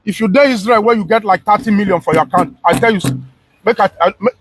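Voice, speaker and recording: A man's voice: high voice, fast, fairly bright tone, a little hiss or hum. A man with a Nigerian accent chatting casually and insistently, repeating himself to press his point. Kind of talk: casual, argumentative chat in Nigerian Pidgin and English.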